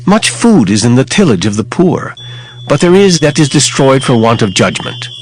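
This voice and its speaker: A man giving a dramatized scripture reading in a measured, declamatory way. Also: mid-range voice, very animated, slight background noise, poor audio. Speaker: scripture reader. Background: background music.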